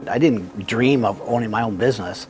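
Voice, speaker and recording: A middle-aged man from Cuba, a business owner telling his story in a relaxed, rambling way. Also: lowish voice, fairly quick, faint music behind. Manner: relaxed, many fillers and restarts. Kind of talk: conversational storytelling.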